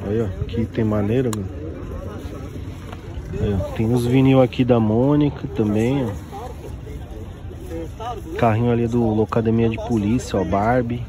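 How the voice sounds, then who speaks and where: A man's voice: medium pitch, measured pace, long pauses. Brazilian man vlogging a busy street market. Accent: Brazilian Portuguese. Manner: excited, points things out with constant "ó". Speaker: toy collector vlogger. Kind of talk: casual handheld market walkthrough. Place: outdoor street flea market. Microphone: handheld phone camera. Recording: busy crowd noise outdoors. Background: market crowd chatter and traffic.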